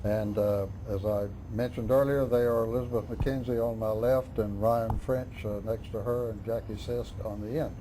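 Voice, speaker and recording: Middle-aged male host, halting speech. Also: fairly low pitch, steady tone, warm tone, a little hiss or hum, low-quality recording. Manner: halting, many "uh" fillers. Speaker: talk show host. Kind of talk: hosting a local candidate forum.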